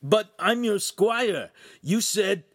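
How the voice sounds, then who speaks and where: A man voicing a frightened, naive young peasant squire in an audiobook, pleading and breathless with rough, uneducated speech. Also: high voice, fast, no room sound, studio quality, voice pushed up. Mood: pleading protest. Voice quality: strained, breathless.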